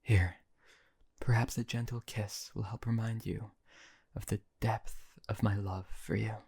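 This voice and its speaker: A man's voice: lowish voice, steady tone, a little slow, creaky, darkish tone, breathy edge, full character voice. A man playing a possessive, cruel yet doting male emperor in an audio roleplay, speaking in a silky, condescending way that often drops to a whisper. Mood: cruelly tender.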